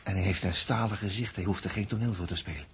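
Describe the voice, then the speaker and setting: A man with a Netherlands Dutch accent playing a sly, needling, flirtatious space crewman in a 1960s radio drama, speaking haltingly and repeating words. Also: low voice, slight background noise. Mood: insinuating. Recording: muffled 1960s radio recording.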